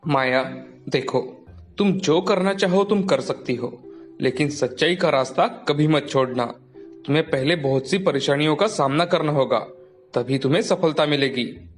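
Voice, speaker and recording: A man playing a humble, content husband who gives plain-spoken, patient advice in an animated moral story. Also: fairly high pitch, normal speed, faint music behind, close to an everyday voice. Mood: earnest.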